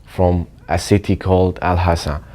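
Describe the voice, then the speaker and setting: A man from Saudi Arabia, a student, giving a slow and earnest personal statement. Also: deep voice, steady tone, music in the background.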